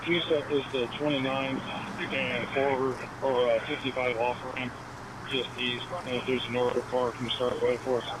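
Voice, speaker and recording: Male officer with a medium-pitched voice, clipped radio calls through a scanner, heavy background buzzing. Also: flat delivery, moderate pace. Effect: police scanner radio. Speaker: police officer calling in. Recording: police scanner audio, loud buzzing ambient noise. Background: bees buzzing at outdoor hive.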